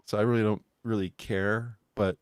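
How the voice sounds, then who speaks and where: Low-pitched male co-host, rambling chat, dry close mic. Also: level tone, normal speed, clear, resonant tone, dry recording. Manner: rambling, laughs at own jokes. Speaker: podcast co-host. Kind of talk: casual chat.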